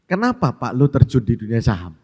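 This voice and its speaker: A man's voice: medium pitch, wildly swooping pitch, brisk, a little mellow, slightly rough. A man interviewing a guest on stage, asking polite questions and addressing him as "Pak". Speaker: event interviewer. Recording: echoey event hall.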